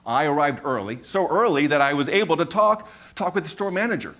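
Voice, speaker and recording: A man's voice: fairly high pitch, expressive, fairly bright tone. Man in his fifties who stutters. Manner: stutters, repeating sounds and words; measured, emphatic storytelling with repeated phrases. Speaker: speaker giving a talk. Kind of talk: storytelling talk on stage.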